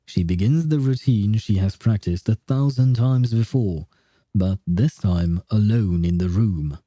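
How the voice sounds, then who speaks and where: Mellow, low-voiced man reading a story aloud. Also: unhurried, dry recording. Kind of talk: story read-aloud with casual reactions.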